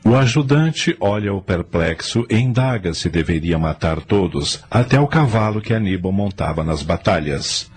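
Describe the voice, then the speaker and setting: A man's voice: sits low, lively intonation, moderate pace, few pauses, a little mellow, slight background noise. A man narrating a radio drama from a script.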